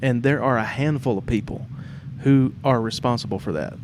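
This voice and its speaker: A man with a medium-pitched voice hosts a casual podcast conversation. He talks informally, as if chatting in a shared theater studio. There is clear background noise behind him. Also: normal speed. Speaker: podcast host.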